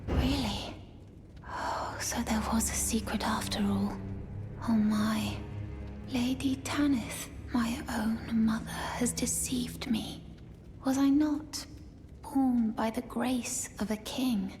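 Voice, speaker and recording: An actress playing a timid, troubled young serpent-woman, hesitant and half-whispering, in a dark fantasy game. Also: on the high side, steady tone, slow pace, soft background music. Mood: hurt, shaken.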